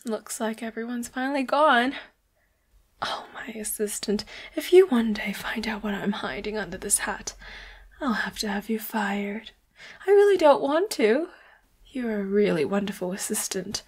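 A woman playing a sweet, secretive boss who is a hidden leopard hybrid in a roleplay audio drama, soft and breathy, often slipping into whispers. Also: on the high side, expressive, measured pace, exaggerated voice. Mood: wistful, complaining. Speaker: voice actor.

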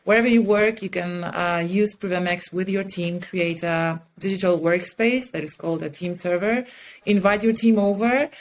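Low-pitched, enthusiastic woman. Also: normal speed, a little hiss or hum. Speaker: podcast guest, communications head and mentor. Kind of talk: conversational interview answers. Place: indoor room with curtains and plants, on a video call.

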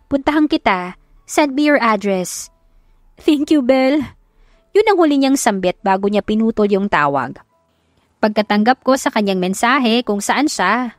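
A woman narrates a romantic audio story in the first person. Her voice sits at a medium pitch, and the recording is close and dry. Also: dry recording.

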